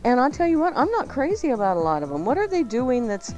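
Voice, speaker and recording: A woman's voice: sits high, sing-song, fast, a little mellow, muffled recording. A woman co-hosting a radio cooking show, chatting informally with playful banter. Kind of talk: casual radio chat. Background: music under the talk.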